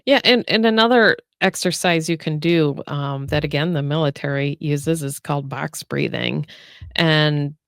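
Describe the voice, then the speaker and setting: A woman's voice: sits low, unhurried, clear, resonant tone, no room sound, clean studio sound. A woman co-hosting a health chat show, nasal from a cold, walking listeners through breathing exercises step by step in a chatty way. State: getting over a cold, nasal. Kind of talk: casual explanatory chat.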